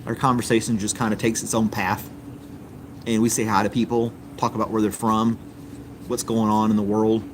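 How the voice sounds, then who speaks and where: A man's voice: on the low side, little pitch movement, normal speed. Easygoing rambling man with background noise. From United States. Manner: easygoing, rambling, with frequent ums and restarts. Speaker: live stream host. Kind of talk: casual chat reading viewer comments.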